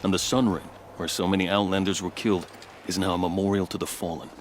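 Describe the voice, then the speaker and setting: A man playing a composed, devout and loyal fort officer in a video game, speaking in a measured, formal way. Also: low-pitched, little pitch movement, slight background noise, lightly acted. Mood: earnest, reverent. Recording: music behind the dialogue. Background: music.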